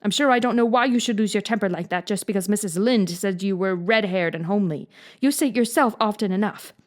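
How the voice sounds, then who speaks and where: A woman's voice: medium pitch, fast, natural voice. A woman reading as a stern, dry guardian. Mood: scolding. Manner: severe, dry.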